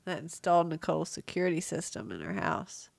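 A woman's voice: low voice, big pitch swings, a little fast, creaky, dry recording. A woman co-hosting a true crime podcast in casual chat, congested and laughing often. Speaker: podcast co-host.

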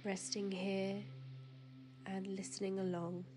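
A woman guiding a sleep meditation in a soothing, hushed manner, with soft breathy phrase endings and drawn-out pauses. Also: medium pitch, completely flat delivery, noisy background. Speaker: meditation guide. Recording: piano music and waves behind. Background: relaxing piano music and ocean waves.

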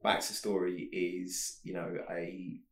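A man's voice: low-pitched, level tone, slow. A man, a food director and guest, chatting casually on a podcast, rambling with lots of fillers. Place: office. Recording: roomy echo.

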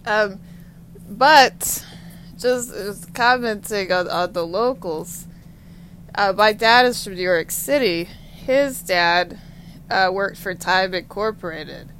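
Woman, thirties, from Texas, rambling monologue, halting, repetitive, slow-spoken. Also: mid-range voice, a bit bright, a little hiss or hum. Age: 30s. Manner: halting, repetitive, frequent pauses and ums.

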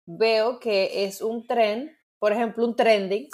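A woman's voice: fairly high pitch, fairly even intonation, slow, a little mellow. A woman with a Dominican Spanish accent, co-hosting a casual podcast and teasing her co-host playfully. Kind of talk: casual chat.

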